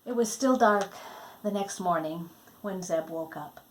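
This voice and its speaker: Slow-paced woman reading a storybook aloud. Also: on the low side, slight background noise, poor audio. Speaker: storybook reader. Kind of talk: expressive read-aloud storytelling of a Christmas book.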